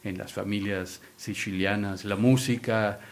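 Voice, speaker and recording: A man's voice: on the low side, measured pace. A man hosting an interview, switching languages as he introduces his guest and asks questions. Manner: switches languages mid-sentence, lively. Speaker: interviewer and host.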